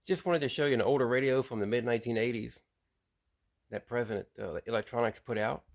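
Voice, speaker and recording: A man hosting a casual show-and-tell about radio gear, relaxed and plain-spoken, with frequent pauses and repeated words. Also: mid-range voice, creaky. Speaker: radio hobbyist host.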